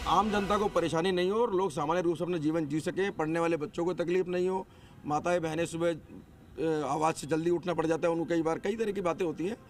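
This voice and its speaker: Fast-talking man giving a statement. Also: a little high, steady tone. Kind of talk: spontaneous spoken statement.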